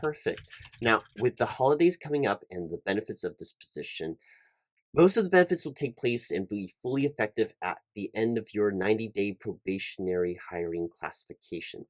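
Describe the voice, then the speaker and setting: Corporate recruiter, played by a man, lowish voice, over-careful, repetitive and a bit flustered, very dry recording, ASMR interview roleplay. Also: animated, fairly quick, dry recording, natural voice. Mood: careful, formal. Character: polite, fussy, slightly flustered male corporate hiring manager. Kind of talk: one-sided ASMR job interview roleplay.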